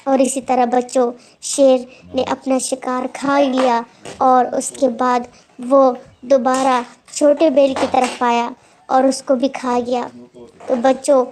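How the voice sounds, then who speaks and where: A woman's voice: high voice, a little mellow, a little hiss or hum. A woman telling a moral story to children in an instructive way, addressing them directly and repeating phrases for emphasis.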